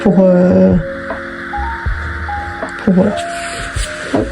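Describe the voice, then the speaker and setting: A woman's voice: lowish voice, flat delivery, extremely slow, gravelly. A woman chatting informally to viewers as she does a craft, hesitant and often repeating herself or trailing off. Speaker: video host doing a craft tutorial. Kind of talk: casual chat to viewers while crafting. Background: background music.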